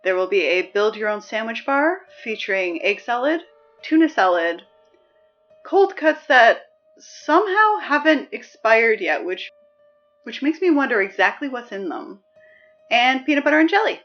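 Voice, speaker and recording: A woman playing a weary, sardonic high school morning announcer in a scripted audio drama. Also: moderate pace, muffled recording, faint music behind. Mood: dry, informative. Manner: sardonic, deadpan, exasperated asides.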